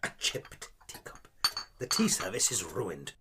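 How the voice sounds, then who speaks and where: A man's voice: low voice, wildly swooping pitch, unhurried, very noisy, exaggerated voice. A man playing a small, fussy, shrew-like alien actor in an audio drama, declaiming in a florid, plummy, theatrical manner. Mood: anguished, melodramatic. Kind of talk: scripted audio drama acting.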